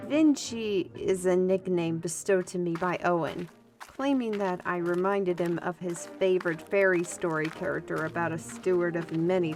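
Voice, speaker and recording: A woman playing a spirited, good-humored artist and adventurer in a fantasy audio drama, with a playful, expressive delivery. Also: fairly low pitch. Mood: cheerful. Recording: music under the voice.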